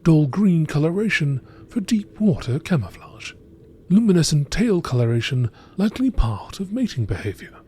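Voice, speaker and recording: A man reading game lore entries as scripted nature documentary narration, in a measured, formal, deliberate manner. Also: fairly high pitch, big pitch swings, warm tone, husky.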